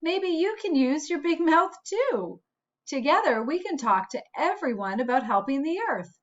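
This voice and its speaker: A woman school principal reading a picture book aloud to children in an expressive, deliberate, teacherly way, with asides to her listeners. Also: high-pitched. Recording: dull, lo-fi recording.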